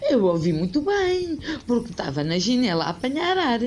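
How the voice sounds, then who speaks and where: A woman's voice: on the high side, very animated, brisk, darkish tone, slight background noise. A woman playing a theatrical, scolding, lovelorn older village busybody in a radio soap, with a European Portuguese accent. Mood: indignant, scolding. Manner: theatrical, indignant, plaintive. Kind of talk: old-fashioned radio soap acting.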